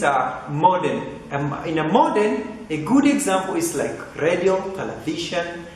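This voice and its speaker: A young man with a Tanzanian English accent teaching a lesson in an empty computer classroom, repeating points and asking "right?" often. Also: sits high. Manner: repetitive, asks "right?" and "huh?" often, lively gestures. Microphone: camera mic at a few feet. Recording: very echoey classroom with faint hiss.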